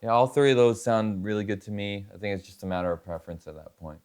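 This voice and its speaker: A man's voice: fairly low pitch, quick pace, over music. A man chatting casually and demonstrating gear in a laid-back, colloquial way in a home studio. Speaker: guitarist and producer, interview guest.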